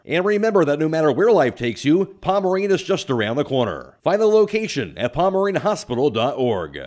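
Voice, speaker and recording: A man's voice: a little high, darkish tone, no room sound. An American man working as a radio announcer, speaking in a broadcast style into a close microphone. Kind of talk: live baseball play-by-play commentary.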